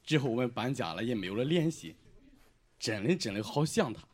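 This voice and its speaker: A man acting a lovestruck, sentimental young male student in a Chinese audio drama, with a regional dialect accent and an animated, emotional delivery. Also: medium pitch, a little fast, lightly acted. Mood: wistful.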